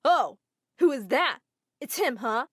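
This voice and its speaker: A woman voicing an angry, abusive young man who speaks loudly and indignantly. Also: sits high, lively intonation, slow, breathy edge, dry recording, clean studio sound, full character voice. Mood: furious. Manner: indignant and loud.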